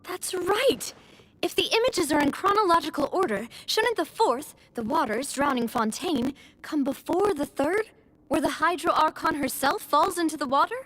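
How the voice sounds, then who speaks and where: A woman's voice: high voice, expressive, normal speed, fairly bright tone, dry recording, low-quality recording. A woman playing a thoughtful, polite young investigator in a video game, speaking in an earnest, inquisitive way. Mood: reasoning.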